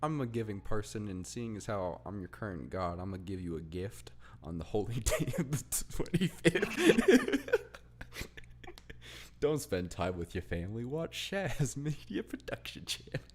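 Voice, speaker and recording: A man's voice: lowish voice, very animated, measured pace. A man hosting a casual podcast chat, reacting in a playful, exaggerated way. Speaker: podcast host. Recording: clear background noise, room ambience.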